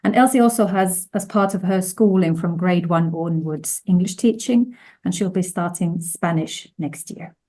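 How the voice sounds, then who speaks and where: Low, mellow woman presenting. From Finland. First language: Finnish. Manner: measured, with frequent restarts. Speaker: conference speaker. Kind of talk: conference presentation.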